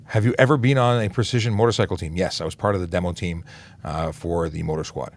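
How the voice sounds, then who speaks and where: Deep-voiced, gravelly police officer. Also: male voice, fast. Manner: relaxed, many "uh" fillers and restarts. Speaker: police officer hosting a traffic Q&A livestream. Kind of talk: casual livestream Q&A, reading and answering viewer questions.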